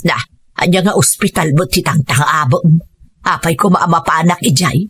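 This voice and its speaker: Husky, stubborn older mother. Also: deep voice, normal speed, a bit bright, no echo, full character voice. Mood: dismissive. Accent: Ilocano. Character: stubborn, money-minded older mother, sharp-tongued. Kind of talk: Ilocano radio drama acting. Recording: music bed under the voice.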